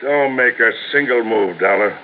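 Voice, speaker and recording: A man's voice: mid-range voice, normal speed, close to an everyday voice. An actor playing a smug gunman in a 1950s radio crime drama, sneering and coolly threatening. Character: smug, menacing male villain holding a gun. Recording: tinny, noisy old radio recording.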